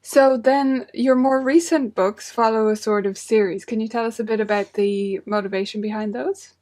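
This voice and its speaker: A woman's voice: on the high side, steady tone, normal speed, darkish tone. A woman hosting a music teaching show, chatty and enthusiastic as she welcomes and questions her guest. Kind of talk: upbeat interview hosting.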